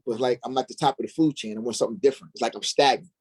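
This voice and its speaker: A man's voice: mid-range voice, fairly even intonation, racing, a little mellow, slightly rough. A middle-aged man being interviewed as an actor, telling personal stories in an informal, slangy way. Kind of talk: casual personal storytelling.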